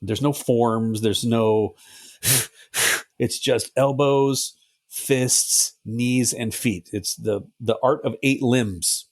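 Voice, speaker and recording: A man's voice: mid-range voice, fairly even intonation, very slow. A middle-aged man co-hosting a podcast in casual chat, joking and swearing freely. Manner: jokey, slips into silly mock voices and swears. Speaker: podcast co-host.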